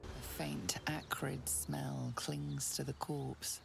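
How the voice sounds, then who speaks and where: A woman performing as the narrator of a dark fantasy game, speaking in a deliberate, hushed, ominous manner. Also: extremely low pitch, little pitch movement, gravelly, full character voice. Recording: music behind the voice.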